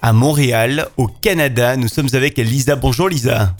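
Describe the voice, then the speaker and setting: A man's voice: mid-range voice, moderate pace, faint music behind. A man hosting an interview in a friendly, radio-style way, putting questions to a guest. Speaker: interview host.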